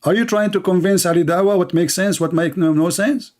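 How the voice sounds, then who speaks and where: A man's voice: sits high, fairly quick, darkish tone. A man whose first language is Arabic, hosting a live online debate in English with mocking, sarcastic emphasis. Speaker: debate show host.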